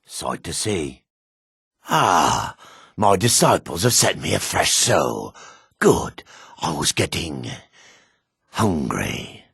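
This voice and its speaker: An actor playing a grim, weathered male dark elf warrior in a fantasy game, with a husky, measured, menacing delivery. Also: low-pitched, very animated, no room sound, clean studio sound, heavily acted. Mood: sinister. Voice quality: gravelly, husky. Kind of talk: fantasy game character lines.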